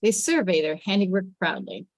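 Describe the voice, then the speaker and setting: A woman narrates a script at a table read, reading the stage directions aloud. Her pitch sits in the middle range, and the sound is dry, with very little room in it. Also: animated, brisk, dry recording.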